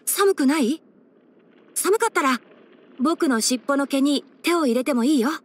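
A youthful fox-eared ranger boy from an anime game. The voice sits fairly high and boyish, with the matter-of-fact delivery of a helpful young scholar who explains things frankly and teases gently. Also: expressive, unhurried. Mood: caring. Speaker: character voice actor. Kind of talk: anime game character voice lines.

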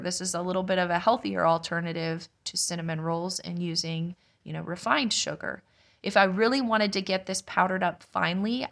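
A young woman narrates her homestead kitchen projects in a relaxed, chatty voice-over. Her voice sits a little low for a woman, and she explains each step plainly, like a busy mom talking to friends. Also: vocal fry. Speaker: homestead vlogger, mother. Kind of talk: casual cooking vlog voice-over.

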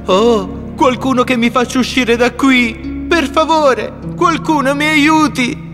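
A man's voice: very high-pitched, steady tone, slow, over music. A man playing a bold, eager poor boy in a children's fairy tale, exclamatory and earnest. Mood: pleading.

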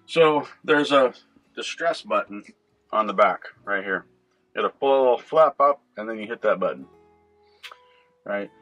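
Low-pitched middle-aged North American man explaining. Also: expressive, moderate pace, pauses often, fairly bright tone, faint music behind, rough recording. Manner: explanatory, conversational. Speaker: boating video host. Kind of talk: informal instructional vlog. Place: inside a small boat cabin at the helm.

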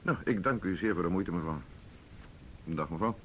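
A man acting a dry, methodical police investigator in a radio drama, speaking deliberately. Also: low-pitched, a bit bright, slight background noise. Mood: dry, matter-of-fact.

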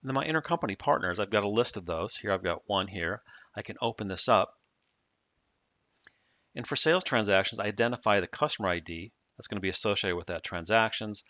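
A man narrating a software tutorial, explaining each step plainly in the manner of a demonstrator. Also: fairly low pitch, animated, brisk, no echo.

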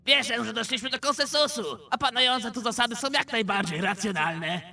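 A man's voice: extremely high pitch, brisk, creaky, pushed and bright, no echo, studio quality, exaggerated voice. A man voicing a manic, foul-mouthed and exasperated little fighter in an anime parody dub, with strained delivery. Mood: mock-eloquent.

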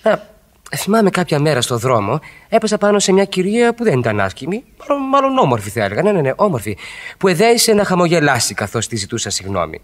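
A man's voice: on the high side, big pitch swings. An actor playing a self-mocking, faithful husband in a Greek radio play, hesitant and wry as he confesses. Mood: bashful, wry. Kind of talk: mid-century Greek radio theatre acting.

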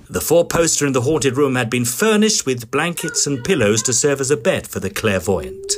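An actor playing a polite, fussy Edwardian gentleman ghost hunter in an audio drama, with an English accent and a formal, slightly hesitant manner. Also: fairly high pitch, noisy background. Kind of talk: audio drama acting and first-person narration.

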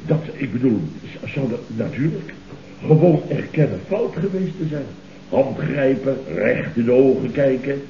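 An actor playing a blustering, self-righteous conservative boss in a Dutch radio comedy, speaking in repetitive, exclamatory bursts with a Dutch accent. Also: male voice, mid-range voice, measured pace, gravelly, dark tone. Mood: sly, smug. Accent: Dutch, from the Netherlands. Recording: dull, noisy, roomy old radio recording.

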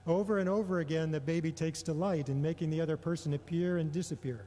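A middle-aged man delivering a prepared homily in a measured, deliberate way, pausing often between phrases. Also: on the high side, steady tone, warm tone. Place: large indoor church or hall. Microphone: gooseneck microphone on a lectern. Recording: long reverberation of a large hall.

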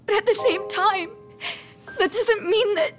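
A woman's voice: very high-pitched, unhurried, soft background music. An actress playing a blunt, wounded young woman in a video game, speaking frankly and with emotion. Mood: tearful, frustrated. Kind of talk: English game voice acting.

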